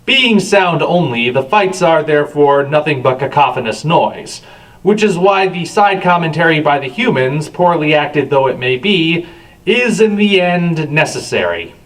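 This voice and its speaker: A man's voice: on the high side, expressive, a little slow, a bit bright. A man hosting a video review, reading scripted narration with wry, dry asides.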